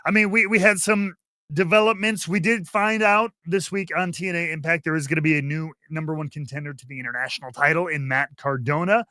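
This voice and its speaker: Male podcast host, higher-pitched and sharp, theatrical wrestling-announcer delivery, close dry sound. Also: fairly even intonation, fairly quick, no room sound. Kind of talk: animated show introduction and wrestling preview.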